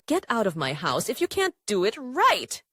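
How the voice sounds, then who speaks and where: A woman voicing a harsh employer scolding a maid with sharp reprimands in an audio drama. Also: medium pitch, wildly swooping pitch, a little slow, breathy edge, no room sound, clean studio sound, exaggerated voice. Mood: furious. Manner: shouted English reprimands.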